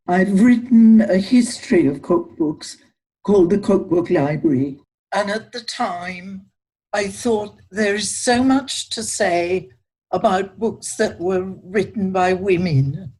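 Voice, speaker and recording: Older woman, slow and husky. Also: mid-range voice, a little mellow. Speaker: guest, culinary historian. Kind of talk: podcast interview.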